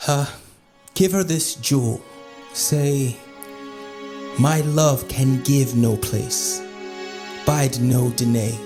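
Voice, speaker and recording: Slow-spoken, brooding lovesick noble Orsino. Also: medium pitch, music in the background. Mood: brooding, insistent. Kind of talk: Shakespearean audio drama acting.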